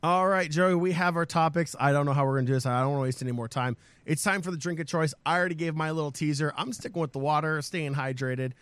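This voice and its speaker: A man hosting a gaming podcast in an upbeat, hype-building way, his voice hoarse and strained. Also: on the high side, fast talker, few pauses, no echo. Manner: energetic, hypes up the audience. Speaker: podcast host.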